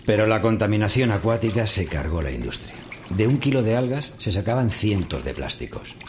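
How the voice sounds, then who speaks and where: A middle-aged, world-weary farm veteran in a radio drama. He has a low voice and a Castilian Spanish accent, over faint noise. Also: sing-song, fairly quick. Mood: explanatory. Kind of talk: radio drama acting, conversational. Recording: faint background noise and ambience.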